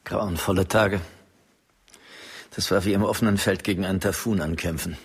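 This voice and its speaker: A man's voice: deep voice, steady tone, normal speed, husky. A man in a radio drama playing a glib, cynical economist who argues from hard data. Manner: cool, flippant, data-driven. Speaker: economist at a forum.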